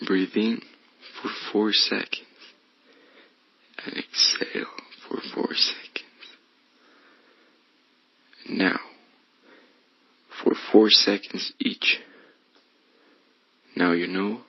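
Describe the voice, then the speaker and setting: Male meditation guide, low pitch with gravelly fry, hushed delivery, slightly muffled recording. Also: fairly even intonation, very slow, long pauses, no room sound. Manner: hushed, breathy, often slipping toward whisper. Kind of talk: guided meditation, soothing instruction.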